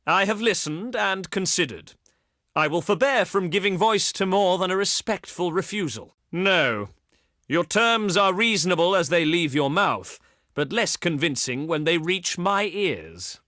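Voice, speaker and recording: Casting: proud Greek envoy for a strategy game, theatrical and oratorical, bright forceful tone, sweeping intonation. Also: male voice, high voice, expressive, unhurried, no room sound, clean studio sound, heavily acted. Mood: courteous, firm. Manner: pompous, oratorical, declaiming in ornate phrases. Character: proud ancient Greek diplomat, eloquent, scornful, theatrical man. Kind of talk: theatrical video game diplomacy voice lines.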